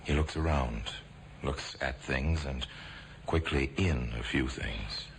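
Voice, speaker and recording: A man narrating a radio drama in a measured, ominous way. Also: a bass voice, vocal fry. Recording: hissy old radio broadcast.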